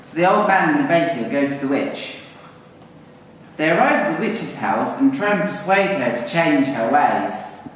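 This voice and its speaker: A man's voice: a little high, expressive, moderate pace. A man narrating a live puppet show as a storyteller to an audience. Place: arts centre performance space. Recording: very echoey hall, distant mic, faint noise.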